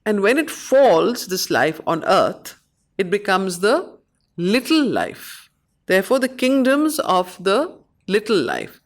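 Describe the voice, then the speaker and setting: A woman with an Indian accent, facilitating a study circle, reading and explaining verse in short, measured phrases. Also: mid-range voice, wildly swooping pitch. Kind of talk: reading poetry aloud and explaining it to a study group.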